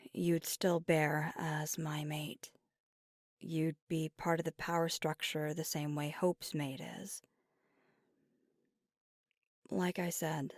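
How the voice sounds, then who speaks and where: Woman acting as young female werewolf girlfriend, ASMR roleplay, lowish soft voice, deliberate, earnest, fiery loyalty, breathy, close dry mic. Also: no echo, full character voice. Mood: earnest, tender. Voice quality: soft, often breathy and near-whispered. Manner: deliberate, candid, casually profane. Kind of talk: intimate ASMR roleplay monologue. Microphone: close microphone, dry.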